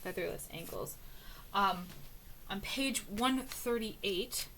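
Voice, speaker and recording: A woman reading aloud from book notes in a casual, musing way, with many ums and uhs, often trailing off softly. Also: mid-range voice, takes it slowly, noisy background. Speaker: book reader and annotator.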